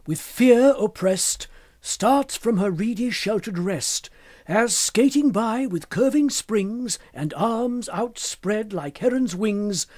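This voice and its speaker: A man reading poetry aloud in an expressive literary style, with measured and deliberate phrasing. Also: high-pitched, no room sound, studio quality. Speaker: poetry reader.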